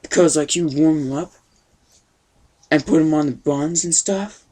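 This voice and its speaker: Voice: mid-range voice, moderate pace, mellow, natural voice. Someone putting on a folksy old gramps telling a rambly made-up story, slangy young wording. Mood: rambling storytelling. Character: totally wicked awesome old grandpa telling a tale.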